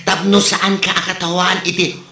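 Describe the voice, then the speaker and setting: An Ilocano-accented performer playing a haughty, mocking neighbor in a comedy drama, taunting with drawn-out words and questioning hums. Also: medium pitch, flat delivery, bright, forceful tone, natural voice. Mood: taunting, scornful. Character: haughty, mocking neighbor showing off an expensive designer bag. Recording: roomy, echoing sound.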